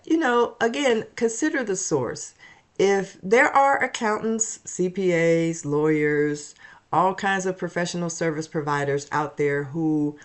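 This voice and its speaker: Stuffy, raspy-voiced attorney hosting tips. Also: medium pitch. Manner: conversational, with frequent ums and restarts. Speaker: estate planning attorney hosting a weekly video series. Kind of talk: informal explanatory video monologue.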